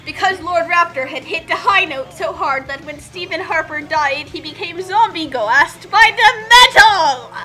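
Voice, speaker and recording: A woman's voice: very high voice, animated, unhurried, hardly any pauses, bright, forceful tone, faint music behind. A woman reading a story aloud dramatically and bantering jokingly with friends. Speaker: co-host reading fan fiction aloud.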